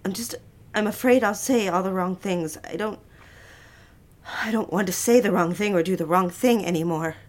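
Soft, confiding lovestruck secretary played by an actress. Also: female voice, mid-range voice, normal speed, natural voice. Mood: timid, nervous. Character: timid, lovestruck female 1960s secretary yearning for attention. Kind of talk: period audio drama acting, confessional first-person narration.

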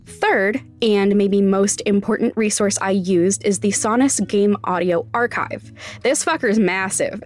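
A young adult woman hosting a podcast, narrating conversationally in a candid, informal, wryly self-deprecating way. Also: mid-range voice. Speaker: podcast host and sound designer.

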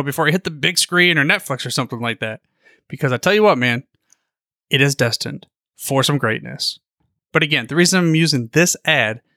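Bright, dry-recorded podcast host. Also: male voice, medium pitch, no echo. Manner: folksy, enthusiastic, emphatic. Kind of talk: casual sponsor read.

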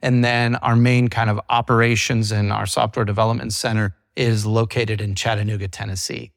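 A man's voice: lowish voice, level tone, normal speed, vocal fry, no echo, studio quality. A middle-aged man, a company CEO, talking through his business in a casual interview. He is chatty, leans on "you know" fillers, and acts out what his clients say. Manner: quotes clients in an acted voice.

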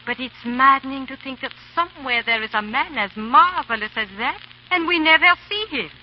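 Wistful posh society wife, high lilting voice, lively, muffled 30s radio sound. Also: female voice, brisk. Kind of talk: 1930s radio drama acting. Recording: muffled, hissy 1930s radio recording.

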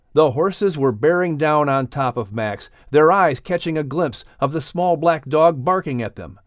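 A man reading a scripted audiobook story with a dramatic, expressive manner. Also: on the high side, no echo, clean studio sound. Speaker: audiobook narrator.

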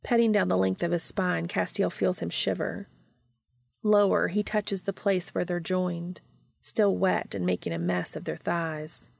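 A woman's voice: fairly low pitch, level tone, unhurried, creaky, darkish tone, no echo. A woman reading scripted fiction as an audiobook narrator.

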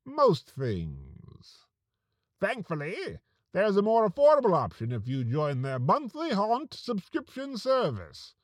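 A man hosting and narrating a scripted comedic horror podcast, with a theatrical, mock-ominous delivery and dramatic pauses. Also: sits high, wildly swooping pitch.

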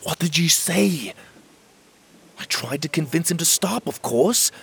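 A man voicing an earnest, uneasy young male fairy hero, raised in pitch and at times whispered. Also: animated, a little slow, creaky, a bit bright, breathy edge, exaggerated voice.

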